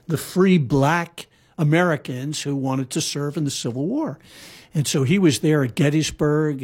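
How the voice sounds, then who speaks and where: A man's voice: a little high, a little mellow, slightly rough. A man telling historical stories in a casual conversation, rambling with frequent fillers and restarts. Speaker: guest storyteller. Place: lives in Hawaii.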